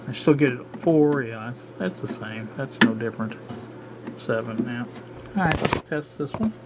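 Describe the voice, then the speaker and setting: A man demonstrating his work at a home workbench, narrating casually as he goes and often pausing with a halting "uh" while he thinks aloud. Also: fairly high pitch. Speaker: hobbyist radio restorer. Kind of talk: casual hands-on demo narration. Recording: dull lo-fi with steady background noise.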